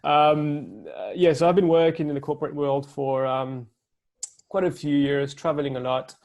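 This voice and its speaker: A man's voice: on the high side, normal speed, vocal fry, darkish tone. A man with a French accent, a co-founder guest speaking hesitantly with many fillers. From France. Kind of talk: casual interview talk.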